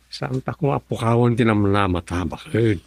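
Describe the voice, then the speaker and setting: An actor playing an easygoing, broke husband who works construction, in a radio drama. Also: male voice, lowish voice, normal speed, gravelly.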